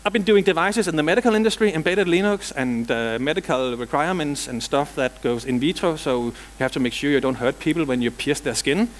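A man's voice: a little high, fairly quick, hardly any pauses, a little hiss or hum. A middle-aged man from Denmark with a Danish accent, giving a technical conference talk in a large hall with dry jokes and casual asides. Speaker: conference speaker.

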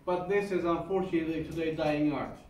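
A man's voice: on the high side, steady tone, a little fast, a little mellow. A man with a Turkish accent, guiding visitors through a carpet workshop and patiently explaining each step, checking in with "okay?" as he goes. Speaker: carpet factory tour guide. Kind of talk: guided tour explanation. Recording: echoey room, from a distance, noisy.

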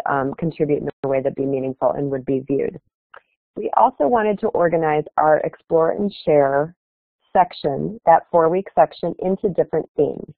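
A woman's voice: sits low, no room sound. A woman giving a keynote presentation at an online conference, explaining her project earnestly with some fillers over a video call. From Chicago area, United States. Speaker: keynote speaker. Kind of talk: webinar presentation. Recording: tinny phone-like online call audio.

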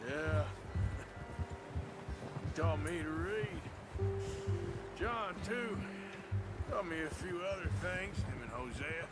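An actor playing a rugged, loyal middle-aged outlaw of the Old West in a western game, with a folksy American drawl. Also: male voice, on the high side, animated, takes it slowly, pauses often, over loud music. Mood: earnest. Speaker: video game character. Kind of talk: naturalistic western game dialogue.

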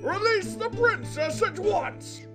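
High-pitched pompous knight. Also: male voice, animated, slow pace, over music, full character voice. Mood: indignant, dramatic. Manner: pompous, theatrical. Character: pompous, blustering male knight, loyal and indignant. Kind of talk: video game fan-dub acting.

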